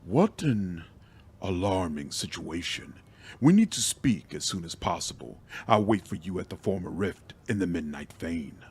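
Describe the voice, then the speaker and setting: Fantasy game mentor, male and otherworldly, acted by a man: low husky voice, measured, formal, calculating. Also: sing-song, a little mellow, full character voice. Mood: concerned.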